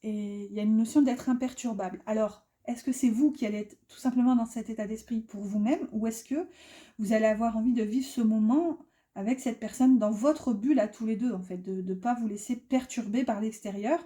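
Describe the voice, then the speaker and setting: A woman's voice: fairly high pitch, fairly quick, warm tone. A middle-aged woman giving a casual tarot reading, chatty and rambling, with many fillers and restarts. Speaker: tarot reader.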